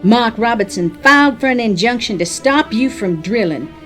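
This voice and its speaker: A woman's voice: on the high side, lively intonation, normal speed, soft background music, natural voice. An actress playing a defiant, vengeful woman in the oil business in an audio drama, taunting and cutting. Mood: triumphant.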